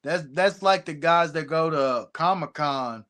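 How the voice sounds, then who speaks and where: An emphatic man with a somewhat higher-pitched voice who keeps agreeing and calls everyone "bro." He sounds like a wrestler talking shop informally on a podcast. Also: measured pace, a bit bright. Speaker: guest wrestler. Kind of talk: casual chat.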